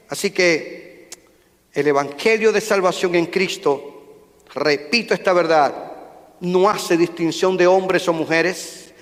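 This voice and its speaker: A man's voice: high voice, a bit bright. A male pastor, preaching a sermon with rising fervor and calling out to the congregation. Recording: long hall reverb.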